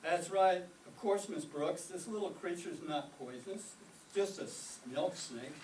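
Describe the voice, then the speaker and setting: A man's voice: sits high, a little slow, darkish tone. A man playing a mild, earnest, oblivious biology teacher in an old-time radio comedy. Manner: polite, earnest. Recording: echoey, distant microphone, faint noise.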